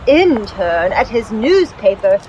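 An actress playing a sharp-tongued, snobbish young socialite with a catty, bossy manner in a horror radio play. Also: female voice, mid-range voice, very animated, slow, dull audio, very noisy. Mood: impatient.

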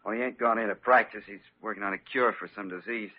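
Casting: male actor as grateful, hesitant American working man, radio play, lowish raspy voice, thin narrow-band sound. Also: fairly even intonation, quick pace, lightly acted. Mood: humble, grateful. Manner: folksy, hesitant, ungrammatical. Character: humble working-class male patient, plain-spoken and grateful. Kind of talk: 1940s-50s radio drama acting. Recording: tinny narrow-band vintage radio recording.

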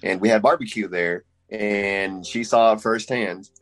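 A man's voice: fairly low pitch, fairly even intonation, a little slow, faint music behind. A man in his thirties with a Texan accent, answering interview questions in a matter-of-fact way with dry humor. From Philippines. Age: 30s. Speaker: police officer guest. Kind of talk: casual interview.

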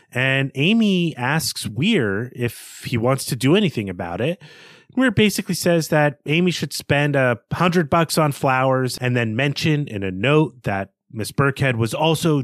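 A man co-hosting a book podcast, talking through a chapter in a relaxed, chatty way with many fillers. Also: medium pitch, no room sound, studio quality. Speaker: podcast co-host. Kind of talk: casual book discussion.

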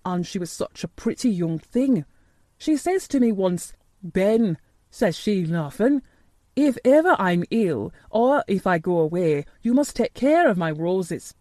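A woman's voice: mid-range voice, very animated, mellow, no room sound. Woman voicing a crabbed old Yorkshire gardener. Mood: grumpy tenderness. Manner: gruff, dry, slow dialect speech. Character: crabbed old Yorkshire gardener man, dry, secretly tender.

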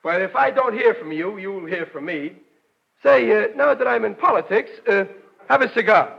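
Blunt working-class American guy, radio sitcom, high-pitched, husky, stammering, indignant bluster, dull vintage audio. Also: animated, normal speed, exaggerated voice. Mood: cocky. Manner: ungrammatical, stammering, plain-spoken bluster. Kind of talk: 1940s radio comedy acting. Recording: muffled vintage 1940s radio recording.

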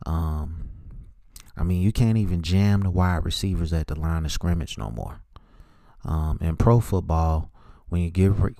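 Middle-aged guy doing a sports podcast solo, really low relaxed voice, informal and opinionated. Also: no echo, clean studio sound. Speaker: podcast host.

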